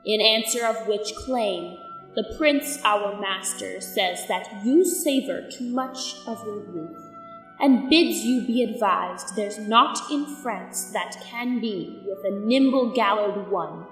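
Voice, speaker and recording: A young woman playing a French ambassador in a Shakespearean audio drama, formal and measured in verse. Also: medium pitch, far from the mic, close to an everyday voice. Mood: coolly mocking. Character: formal French royal ambassador bearing a scornful message. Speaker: amateur student actor. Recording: echoey hall reverb under music.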